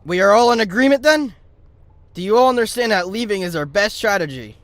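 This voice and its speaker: An actor playing an earnest, reassuring creature leader with a formal, firm manner. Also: male voice, sits high, lively intonation, a little fast, fairly bright tone, no echo.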